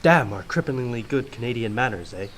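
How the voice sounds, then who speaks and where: A man playing a silly, polite, excitable Canadian police sidekick in a comic radio play, tagging sentences with "eh". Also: medium pitch, brisk, close to an everyday voice. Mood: dismayed. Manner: tags sentences with "eh".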